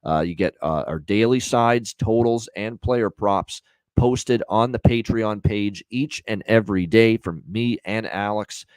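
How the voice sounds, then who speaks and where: Low-voiced energetic sports betting host. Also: male voice, unhurried, clean tone, no echo. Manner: energetic, repeats himself, many "uh" fillers. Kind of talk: solo podcast promo and picks.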